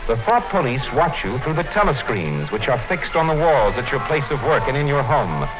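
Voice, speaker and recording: A man narrating a radio play in a measured, formal way. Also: mid-range voice, big pitch swings, rough recording. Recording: old radio broadcast with music under it.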